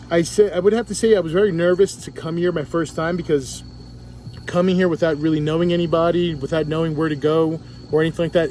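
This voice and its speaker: A man's voice: a little high, darkish tone. A man with a Puerto Rican accent, vlogging casually by a pool and talking into a handheld camera, rambling with restarts and fillers. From Puerto Rico. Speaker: travel vlogger. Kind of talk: casual travel vlog. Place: outdoors at a resort pool.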